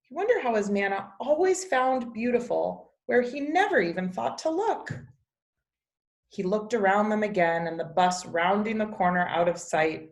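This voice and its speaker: A woman reading a children's picture book aloud as a teacher, with expressive storybook narration. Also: mid-range voice. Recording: echoey, roomy sound.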